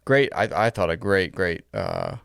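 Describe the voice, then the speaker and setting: A man's voice: low-pitched, huge pitch swings, a little slow, gravelly, a bit bright, clean tone, dry recording, studio quality. A man co-hosting a podcast, giving casual commentary in a tentative, reflective, hesitant way. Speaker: podcast co-host.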